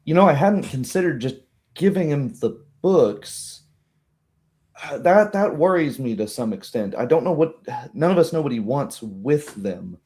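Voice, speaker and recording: A man's voice: a little high, expressive, a little slow, darkish tone, voice pushed up. A man voicing a thoughtful, cautious gnome bard in a tabletop game, pondering as he talks. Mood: wary, pondering.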